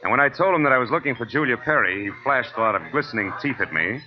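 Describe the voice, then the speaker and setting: An actor playing a hard-boiled private detective who narrates a 1940s radio drama, dry and world-weary. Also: male voice, medium pitch, expressive, quick pace, dull audio, natural voice. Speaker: narrator and lead. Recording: old radio broadcast with music.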